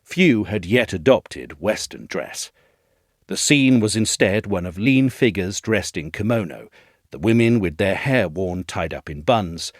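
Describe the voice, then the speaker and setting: Male narrator. Scripted essay read with very varied intonation, articulate and measured, in a dry recording. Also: on the low side, no echo. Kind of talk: scripted documentary-style narration.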